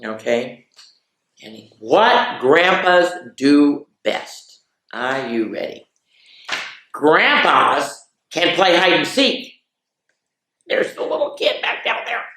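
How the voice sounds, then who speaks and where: A woman hosts story time for children in a roomy, echoing space. She reads picture books aloud at a very unhurried pace, drawing out key words and slipping in informal comments of her own. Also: fairly low pitch, animated, a bit bright. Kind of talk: reading picture books aloud with chatty asides. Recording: echoey, roomy sound.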